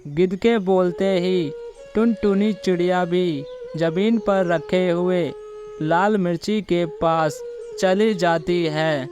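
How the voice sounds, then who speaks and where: A man's voice: sits high, fairly even intonation, slow pace, over music. A man narrating a simple moral story for children as the storyteller.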